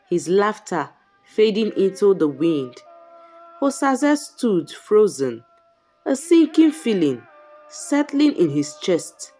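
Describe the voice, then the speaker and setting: A woman storyteller narrating a folktale in a dramatic, suspenseful way, with deliberate phrasing and short pauses. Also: mid-range voice, warm tone.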